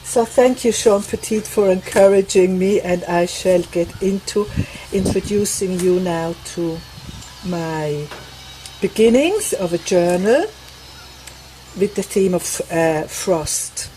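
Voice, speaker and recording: A woman with a Swiss accent talks through her crafting in a slow, unhurried way, naming things one after another. Her voice sits on the low side. Faint outdoor noise and a light breeze sound behind her on the veranda. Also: dark tone, rough recording. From Switzerland. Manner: unhurried, chatty, lists items one by one. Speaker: crafting vlogger. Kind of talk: casual craft show-and-tell.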